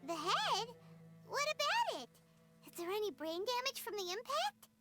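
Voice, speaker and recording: A woman voicing a small, cheeky, childlike fairy companion in an anime game, blunt and excitable. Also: moderate pace, exaggerated voice. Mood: teasing, curious. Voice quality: squeaky, childlike. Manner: speaks of herself in third person, blunt. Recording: music clearly behind the voice. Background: game music.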